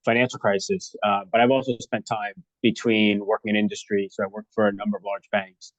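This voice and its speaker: A man's voice: on the low side, little pitch movement, a little fast, no echo. A man explaining his field conversationally as an expert guest in an interview. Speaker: guest, risk consultant and former regulator. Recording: dull, band-limited call audio.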